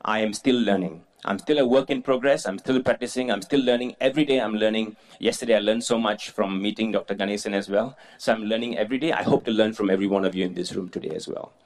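A man's voice: lowish voice, fast talker, few pauses. A man from Singapore in his forties giving a conference talk as a mindfulness teacher, earnest and self-effacing, explaining with frequent fillers. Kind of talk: conference presentation. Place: conference room with audience.

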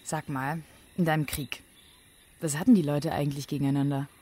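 A woman's voice: low-pitched, steady tone, fairly quick, mellow, a little hiss or hum, lightly acted. A female performer plays a young daughter in a naturalistic radio drama. She is curious and blunt, with colloquial, childlike phrasing. Faint outdoor ambience with insects sits behind her voice. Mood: curious, puzzled.

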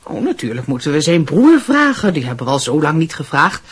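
An actress playing a fussy, decisive aunt in a radio play, with a husky voice. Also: female voice, low-pitched, big pitch swings, brisk, heavily acted. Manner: fussy, insistent. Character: fussy, decisive middle-aged aunt planning family dinner. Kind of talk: radio drama acting.

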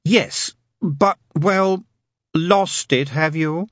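A man's voice: a little high, extremely slow, fairly bright tone, no echo, studio quality, pitched above the performer's own voice. A man voicing a sarcastic male demon who needles and teases in a wry drawl. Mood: teasing. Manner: sarcastic, teasing.

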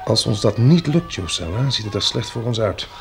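A man acting in a radio play as a practical, dryly teasing head of a household of survivors, speaking in a measured, wry way. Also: fairly low pitch, very animated, gravelly, soft background music. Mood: earnest, practical. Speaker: actor in a radio drama.

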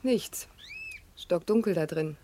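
A woman's voice: fairly low pitch, slow, mellow. An actress playing a direct, friendly young woman in conversational radio drama style. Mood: friendly, amused.

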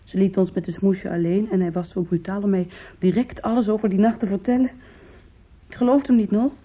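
An actress playing an anguished young woman in a Dutch radio play, confiding in her lover with halting, intimate emotion. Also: mid-range voice, steady tone, dark tone, husky, close to an everyday voice. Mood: confiding, bitter. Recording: dull, muffled old radio recording.

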